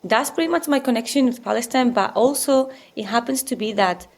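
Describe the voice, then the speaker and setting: A woman from southern Spain with a Spanish accent, a guest talking in an animated, chatty way with many fillers. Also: a little high, brisk. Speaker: guest, embroidery workshop co-founder. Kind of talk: casual interview chat.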